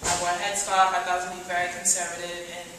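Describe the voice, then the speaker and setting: A woman's voice: fairly low pitch, completely flat delivery, quick pace. Hesitant young American woman, distant and echoey. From United States. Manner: hesitant, many "like" and "um" fillers. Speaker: audience member asking a question. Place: large echoey hall. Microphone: distant audience mic. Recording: echoey hall, distant with background noise.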